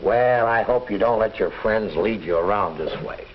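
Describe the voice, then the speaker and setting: A man's voice: on the low side, normal speed, natural voice. A middle-aged actor playing a probing, advising father in a 1950s instructional film. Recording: muffled old film soundtrack, faint noise.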